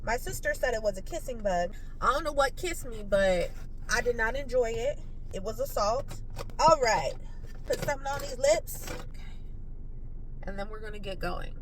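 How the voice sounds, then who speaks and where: A woman in her thirties chatting casually to her phone camera from inside a car. Her nose is stuffy and she talks in an animated, self-mocking way. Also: fairly high pitch, normal speed, slight background noise, poor audio. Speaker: vlogger. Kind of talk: casual vlog chat.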